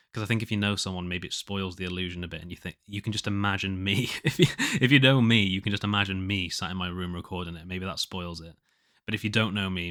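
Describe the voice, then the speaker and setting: Low, nasal man, bright tone. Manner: hesitant, rambling, self-questioning. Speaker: podcast host, musician. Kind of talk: casual solo diary-style chat, thinking aloud.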